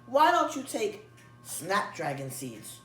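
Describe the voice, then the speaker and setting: A woman's voice: medium pitch, big pitch swings, takes it slowly. A woman voicing a friendly, helpful male shopkeeper in a children's storybook, with chirpy, sing-song emphasis. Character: friendly, helpful male flower-shop keeper. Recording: echoey room, distant mic, music behind.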